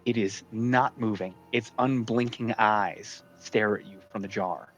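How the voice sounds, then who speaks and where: Slow-paced man narrating a fantasy game. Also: fairly low pitch, fairly even intonation, faint music behind, rough recording. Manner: deliberate descriptive narrator, pausing between phrases. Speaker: dungeon master. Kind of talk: improvised narration of a tabletop role-playing game.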